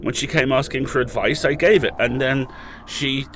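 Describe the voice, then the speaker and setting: A man's voice: mid-range voice, normal speed, fairly bright tone, slight background noise, close to an everyday voice. A man playing a bitter, furious captive freed after years, speaking with dramatic emphasis in an audio horror drama. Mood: bitter, angry.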